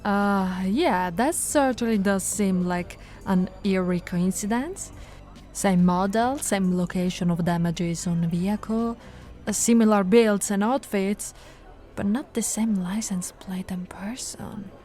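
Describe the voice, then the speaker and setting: A woman voicing a patient, teasing female police officer in an ASMR audio roleplay, speaking softly and coaxingly to the listener, recorded close to the mic. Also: medium pitch, slow pace, soft background music, lightly acted. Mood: matter-of-fact. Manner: soft-spoken, coaxing, playfully flirtatious. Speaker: ASMR voice actress.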